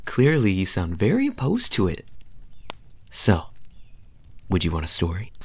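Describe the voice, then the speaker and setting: A man playing a charming, teasing young fantasy knight in an intimate audio roleplay, telling stories to the listener in a soft, breathy voice. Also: low-pitched, huge pitch swings, exaggerated voice. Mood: teasing, amused. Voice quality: soft, husky, breathy at times. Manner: smooth-talking, teasing, unhurried storyteller with dramatic pauses.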